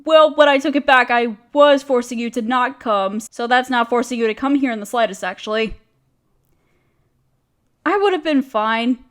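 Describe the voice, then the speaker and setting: A woman's voice: on the high side, moderate pace, lightly acted. A woman playing an overworked, apologetic young woman confiding hesitantly in a close friend in an intimate audio roleplay. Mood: downcast, ashamed. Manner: self-deprecating, hesitant, casual. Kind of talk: roleplay audio drama, intimate one-sided conversation.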